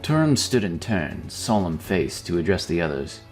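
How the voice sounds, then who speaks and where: A man's voice: low voice, very animated, moderate pace. A man reading scripted narration for a fantasy audio story. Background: background music.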